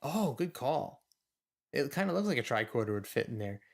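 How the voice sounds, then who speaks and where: A man's voice: medium pitch, big pitch swings, moderate pace, vocal fry. Wryly musing man, casual podcast commentator. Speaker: podcast co-host.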